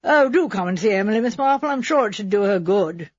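Lady doing a gruff bossy english spinster, fifty, husky n abrupt, storybook reading, bit echoey. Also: mid-range voice, lively intonation, fast, highs cut off. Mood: self-important. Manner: abrupt, clipped sentences.

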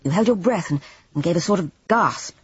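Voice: mid-range voice, expressive, a little fast, fairly bright tone, husky, no echo. A reader doing a proud, furious painter. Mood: angry. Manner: bitter, impatient, sweeping. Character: proud, touchy male painter, quick to furious anger. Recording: dull lo-fi dry recording.

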